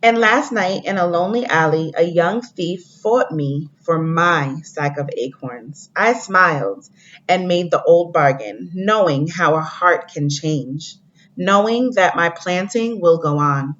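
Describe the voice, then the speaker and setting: A woman teacher giving a read-aloud lesson to young pupils, speaking in a deliberate way and addressing the children directly. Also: fairly low pitch, a bit bright, slight background noise. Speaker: elementary school teacher. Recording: dull lo-fi recording.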